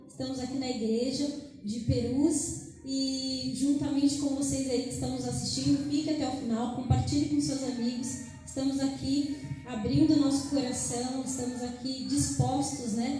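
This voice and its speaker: A woman's voice: high voice, mellow. A woman with a São Paulo Brazilian accent giving an informal testimony as a guest preacher, conversational and grateful in manner. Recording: very echoey church hall.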